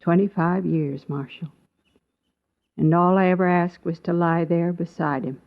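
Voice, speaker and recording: A woman's voice: lowish voice, fairly even intonation, a little slow, vocal fry. An older woman playing a plain-spoken, firm, widowed pioneer mother in an old radio western. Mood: mournful, resigned. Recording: dull old radio recording.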